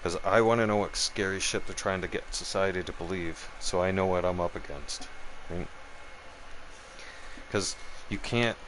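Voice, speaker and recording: A man's voice: low voice, normal speed, noisy background. A man ranting on a live stream, swearing hard and keeps asking "right?" and "okay?". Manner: profane, heated, keeps checking in with "right?" and "okay?". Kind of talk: angry political rant on a live stream.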